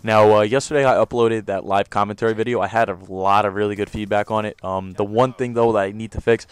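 A young man with a deep voice giving loose, rambling commentary over his own gameplay, recorded on a close home mic. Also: fairly even intonation, fast talker, clean tone, dry recording. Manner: rambling, self-correcting, loose slang. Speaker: gaming commentator.

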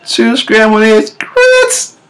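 A man in his thirties with a low bass voice, casually reacting to memes at home, laughing often and breaking into off-key singing. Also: big pitch swings, slow pace, fairly bright tone. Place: home kitchen, indoors. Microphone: webcam at close range.

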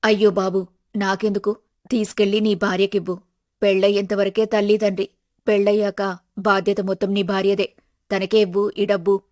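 A woman voicing a worried, practical older wife and mother in an animated family story, plain-spoken and resigned. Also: medium pitch, normal speed, close to an everyday voice. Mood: practical, caring.

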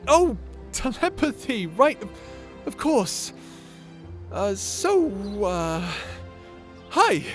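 A man's voice: extremely high pitch, very animated, very slow, pauses often, fairly bright tone, soft background music, full character voice. A man playing a timid, lovesick young adventurer in an audio drama, stammering, hesitant and apologetic. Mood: flustered, nervous. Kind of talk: audio roleplay drama acting.

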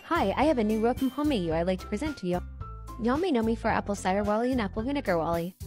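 A woman's voice: on the high side, expressive, racing, a little mellow, faint music behind. A woman explaining her own fan-made character in a narration. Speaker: fan artist presenting a character.

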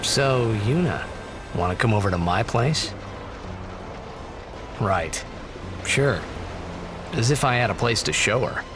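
A man's voice: mid-range voice, lively intonation, takes it slowly, long pauses. An actor playing a boyish young male athlete in a video game, cocky yet awkward, with casual, hesitant phrasing. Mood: awkward, friendly. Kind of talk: video game cutscene acting. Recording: music behind the voice.

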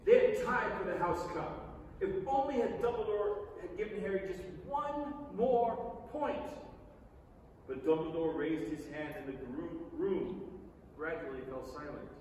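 A middle-aged man giving a dramatic staged reading of a children's novel, with emphatic delivery and long pauses. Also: high voice, darkish tone. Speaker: stage reader. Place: large theatre stage, indoors. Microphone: far camera in the audience. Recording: echoey theatre hall, distant mic, noisy.

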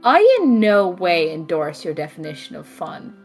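Woman as a wry, lively, teasing best friend. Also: on the low side, normal speed, faint music behind. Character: dry, teasing, homebody young woman, affectionately sarcastic best friend. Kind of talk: audio drama acting.

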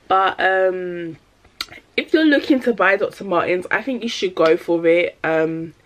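A woman, chatting casually in a product review vlog, a little rambling and full of fillers, her voice slightly deepened by a cold. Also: slow pace, pauses often, a bit bright. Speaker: vlogger. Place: small bedroom indoors.

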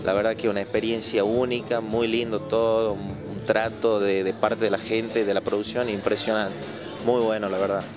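A young man with an Argentine accent and a low voice answers interview questions in a modest, halting way. He speaks into a handheld mic in a bar. Loud live music plays behind him. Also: little pitch movement. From Tucumán province, Argentina. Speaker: folk singer guest.

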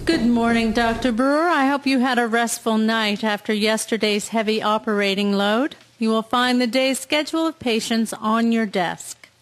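A woman playing a dutiful, steady army nurse in a radio drama, speaking in a composed and formal way. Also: a little high, normal speed, a little hiss or hum, lightly acted, poor audio. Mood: polite, assured.

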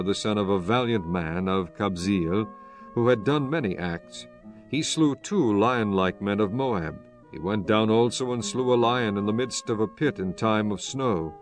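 Low-voiced male scripture narrator. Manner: measured, formal. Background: faint music.

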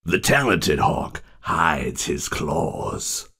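A man voicing a smug animatronic in a game who speaks in proverbs, with a creaky voice. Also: very deep voice, sing-song, extremely slow, sharp tone, heavily acted.

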